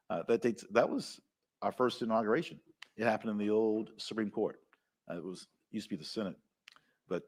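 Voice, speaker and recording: A man from the American South with a Southern accent, giving enthusiastic live commentary full of fillers and anecdotes. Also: on the low side.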